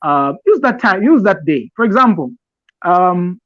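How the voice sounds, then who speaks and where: A man from Zambia with a Zambian accent, giving conversational advice as a podcast co-host, explaining deliberately and haltingly with repeated phrases. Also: high-pitched, very animated, fairly bright tone, no room sound, muffled recording. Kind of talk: conversational advice talk with personal examples.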